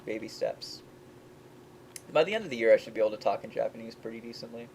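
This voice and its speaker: A man's voice: mid-range voice, quick pace, gravelly, a little mellow, a little hiss or hum, poor audio. A young man, a game developer, rambling in casual chat, often restarting his sentences and laughing at himself. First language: English.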